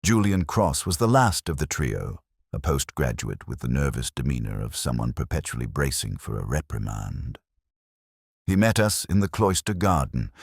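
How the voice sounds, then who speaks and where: A man with a very low, bass voice reads a detective story in the first person. His delivery is measured and reflective, with lively rises and falls across each sentence. The sound is close and dry, suited to literary mystery narration. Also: slightly rough, dry recording.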